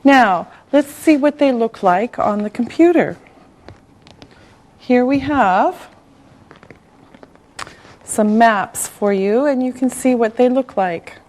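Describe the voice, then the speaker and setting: A woman teaching a beginner English lesson. She speaks slowly and deliberately, saying one word at a time, repeating patterns and encouraging the class. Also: fairly high pitch, darkish tone. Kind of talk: scripted language lesson for beginners.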